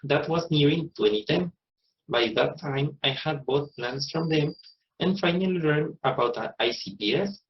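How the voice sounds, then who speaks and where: A man's voice: a little high, normal speed, muffled recording. A man in his thirties with a Costa Rican accent, a native Spanish speaker, giving a prepared personal narration as a plant nursery owner. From Costa Rica.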